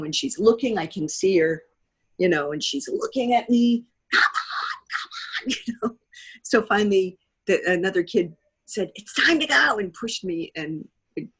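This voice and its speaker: A woman's voice: lowish voice, fairly bright tone. A woman in her sixties with an American accent, telling personal stories as an interview guest, often acting out what people said. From United States. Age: 60s. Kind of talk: anecdotal interview storytelling.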